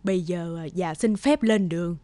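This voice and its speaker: An actress playing a humble old beggar woman in an animated folk tale, speaking slowly and haltingly. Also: lowish voice, no room sound, close to an everyday voice. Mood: grateful, humble. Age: older. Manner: slow, pleading, halting. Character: frail, humble old beggar woman, secretly wise spirit.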